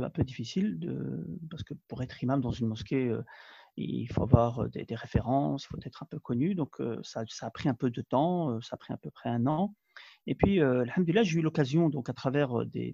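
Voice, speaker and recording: A man's voice: mid-range voice, creaky, dark tone, slightly rough, no room sound, highs cut off. A man in his thirties from Belgium, of Moroccan family, speaking as an imam in an interview, hesitant with many fillers and restarts. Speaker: imam, guest. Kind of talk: conversational interview.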